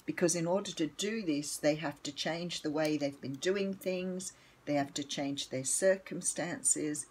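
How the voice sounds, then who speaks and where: An older Australian woman with a croaky morning voice, chatting casually and rambling through a tarot reading at home with lots of ums. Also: sits low, measured pace, darkish tone, a little hiss or hum. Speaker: tarot reader.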